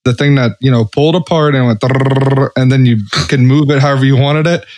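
Man, average pitch, podcast co-host, casual chat, salty and colloquial, dry room. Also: steady tone, brisk, darkish tone, dry recording.

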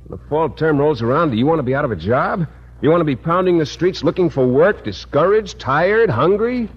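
An American actor playing a bashful, naive high school teacher in an old radio comedy, earnest and hesitant with stammers. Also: male voice, animated, brisk, husky. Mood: dramatic pleading. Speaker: supporting actor in a radio sitcom. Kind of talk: 1940s-50s American radio comedy acting. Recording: muffled, hissy old-time radio recording.

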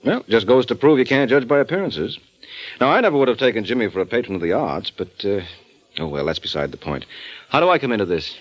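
An actor playing a suave private detective in 1940s radio style, trading dry, flirtatious wisecracks. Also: male voice, mid-range voice, very animated, fast. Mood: wry. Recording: dull old radio recording.

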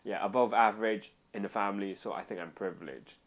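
Low-voiced young man from Hong Kong. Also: fast. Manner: agreeable, chimes in to finish the other speaker's sentences. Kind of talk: casual conversation.

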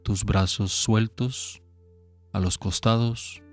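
Very slow, low-voiced man guiding sleep meditation. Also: soft background music. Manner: calm, soothing, unhurried instructions with long pauses. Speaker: guided relaxation narrator.

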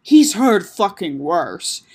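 Woman acting a brash, husky, sarcastic young dad. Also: lowish voice, very animated, takes it slowly, a little mellow, full character voice. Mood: angry, hurt. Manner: sarcastic, emphatic, swearing. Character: brash sarcastic foul-mouthed young father, hurt and guarded.

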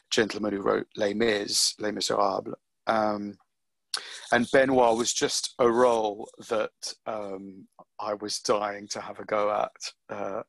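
A middle-aged man talks through his career in an interview, pausing on ums and circling back to finish his thoughts. His voice has a gravelly edge, and the recording is close and dry with no room sound. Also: fairly low pitch, fairly bright tone, dry recording. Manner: reflective, with many ums and restarts. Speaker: actor being interviewed. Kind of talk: relaxed interview answers.

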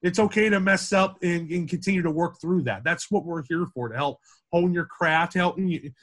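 A young man with a big, projecting voice, sitting sitting a little higher than most men's. He rambles through loose podcast talk, circling back and restarting his sentences. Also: moderate pace, a bit bright. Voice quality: deep, projecting voice. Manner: rambling with frequent restarts and fillers. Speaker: campus radio sports director, guest. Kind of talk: casual podcast interview.

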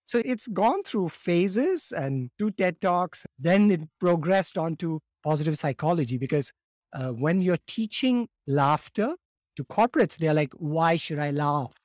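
A man in his fifties, raised in India, telling his story as a podcast guest in a lively way, often acting out quotes and repeating words as he starts. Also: on the high side, a little slow, no room sound, clean studio sound. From born in Morocco, raised in India. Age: 50s. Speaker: guest, laughter yoga teacher.

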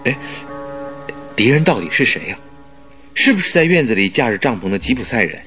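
An actor playing an earnest, inquisitive doctor who is a detective's companion, in a radio drama. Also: male voice, mid-range voice, big pitch swings, fairly quick, sharp tone, soft background music. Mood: puzzled, curious.

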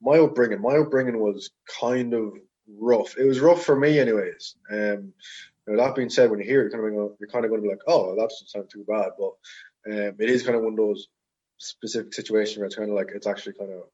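A somewhat dull, lo-fi recording of an Irish man in his twenties. His voice is a little low and slightly husky, and he rambles along informally like a relaxed podcast guest. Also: fast talker. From Ireland. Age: 20s. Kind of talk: casual personal chat.

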